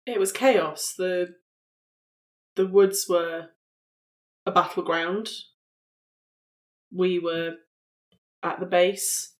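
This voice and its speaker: A player voicing an earnest, intelligent woman time traveller in a tabletop game, with halting, sombre delivery. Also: mid-range voice, fairly even intonation.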